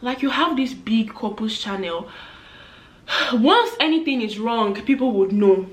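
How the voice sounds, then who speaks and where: A woman's voice: a little high, lively intonation, a little slow. A young woman in her twenties giving earnest, chatty advice to camera, acting out the voices she quotes. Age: 20s. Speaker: vlogger. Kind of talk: casual advice talk to camera. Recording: echoey room.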